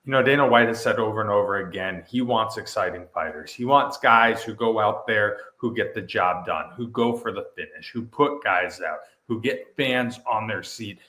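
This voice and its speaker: A man's voice: on the low side, moderate pace, few pauses, pushed and bright, echoey room. A man interviewing a fighter, asking questions in an upbeat, praising way.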